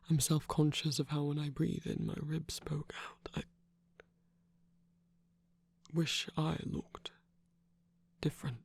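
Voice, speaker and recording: Casting: tender, teasing villain sweetheart for romantic ASMR performer of unclear sex, very husky half-whisper with a creaky edge. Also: mid-range voice, full character voice. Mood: vulnerable, self-conscious. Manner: tender, soft-spoken, teasing. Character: affectionate villain lover, battle-scarred, gently scolding and reassuring. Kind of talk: intimate ASMR romantic roleplay.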